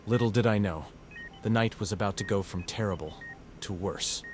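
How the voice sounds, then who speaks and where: A man acts a young doctor carrying guilt in a horror audio drama. His voice is fairly low, measured and earnest. There is clear ambient noise behind him. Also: natural voice. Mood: brooding, guilt-ridden. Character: troubled young male doctor, earnest and guilt-ridden narrator. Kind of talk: horror audio drama dialogue and first-person narration.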